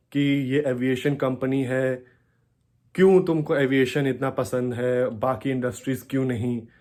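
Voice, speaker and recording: A man's voice: medium pitch, a little mellow. A young man with an Indian accent chatting casually as a vlogger, explaining things and switching often between two languages. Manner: code-switches between Hindi and English, explanatory. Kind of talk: casual vlog chat.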